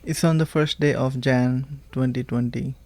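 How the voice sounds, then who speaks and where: A man's voice: medium pitch, fairly even intonation, normal speed, darkish tone. Easygoing young man. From India. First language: Telugu. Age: young adult. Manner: easygoing, heavy use of "like" fillers. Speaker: guest, married student. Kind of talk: casual podcast chat.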